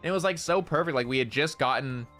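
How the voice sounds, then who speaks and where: Young male streamer, nasal, loud and slangy, bright edge. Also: medium pitch, fast, faint music behind. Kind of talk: casual stream chat.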